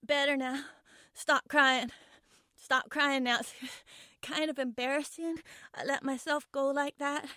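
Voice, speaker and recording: Woman playing a high-voiced, defiant, frightened young girl. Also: fairly even intonation, measured pace, bright, forceful tone, no room sound, clean studio sound. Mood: hushed, resolute. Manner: stammering, repeating herself, foul-mouthed bravado. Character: frightened, defiant young schoolgirl trapped in a car trunk. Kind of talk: dramatic first-person monologue.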